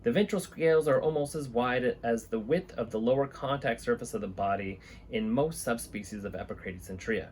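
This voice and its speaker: Man, thirties, mid-pitched, informal video host, small indoor room, faint background noise. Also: fairly quick, darkish tone. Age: 30s. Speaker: host of a reptile-keeping channel, reading books aloud. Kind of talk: reading aloud and informal talk to camera. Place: small indoor room with reptile enclosures and a fan.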